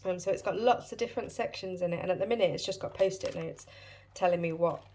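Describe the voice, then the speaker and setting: A woman's voice: on the low side, quick pace, a little mellow, a little hiss or hum. A British woman hosting a casual budgeting vlog, a bit wheezy and short of breath as she gets over a chest infection, chatty and apologetic with many ums. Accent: British English. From United Kingdom. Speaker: budgeting channel host.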